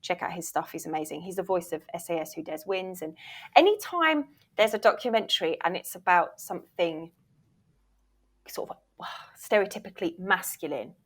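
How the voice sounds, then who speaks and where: A woman's voice: lowish voice, sing-song, normal speed. Woman, industry expert being interviewed. Casual, encouraging advice delivered with emphasis. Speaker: interview guest, voiceover reel producer and former agent.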